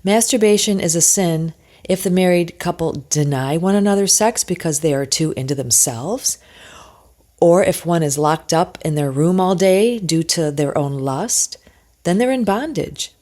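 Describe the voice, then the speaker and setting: A woman's voice: fairly low pitch, unhurried, darkish tone. A woman giving an informal religious teaching talk, emphatic and insistent, repeating her points and posing rhetorical questions.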